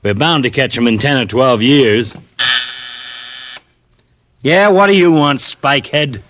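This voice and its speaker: A man acting a gruff, sarcastic, wisecracking American police lieutenant in a 1950s radio drama. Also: mid-range voice, lively intonation, measured pace, noisy background, lightly acted. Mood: weary, sarcastic.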